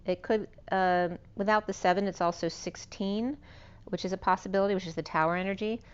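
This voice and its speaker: A woman with a hoarse, fading voice giving an unscripted spiritual tarot reading, meandering and correcting herself as she lists cards and numbers. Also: mid-range voice, steady tone, brisk, highs cut off. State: losing her voice. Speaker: tarot reader.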